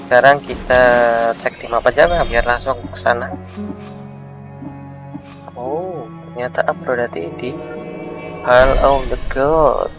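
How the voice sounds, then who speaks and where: A male gamer with an Indonesian accent talks casually through his play. His voice is mid-pitched. Game music is clearly audible behind him. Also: steady tone, unhurried, long pauses. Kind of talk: casual gameplay commentary.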